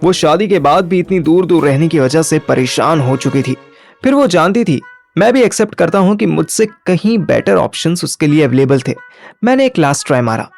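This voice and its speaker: Higher-pitched man narrating an audio story. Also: animated, normal speed, gravelly, darkish tone, faint music behind. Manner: colloquial Hindi mixed with English. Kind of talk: scripted first-person story narration with acted dialogue.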